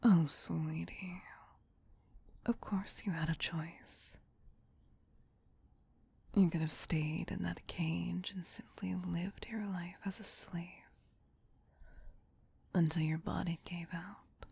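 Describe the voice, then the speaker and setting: A woman voicing a doting, possessive vampire queen in a breathy whisper, coaxing slowly and tenderly for an ASMR roleplay. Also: fairly low pitch, steady tone, dark tone, heavily acted. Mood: tender, protective. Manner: slow, tender, coaxing endearments. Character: doting, possessive vampire queen soothing her human pet.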